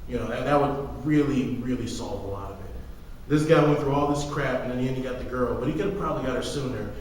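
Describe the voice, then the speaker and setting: A man's voice: medium pitch, quick pace, a little mellow. A man co-hosting a podcast in casual fan discussion, opinionated, slangy and freely swearing. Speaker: podcast co-host. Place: reverberant room, far from the mic. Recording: very echoey room, distant mic, background noise.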